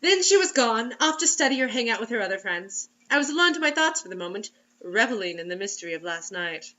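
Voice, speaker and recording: A woman's voice: fairly high pitch, very animated, fast talker, pushed and bright, highs cut off, a little hiss or hum. A woman reading a story aloud as host in a playful, theatrical, mocking way, breaking into casual comic asides. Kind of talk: comedic dramatic reading with casual commentary.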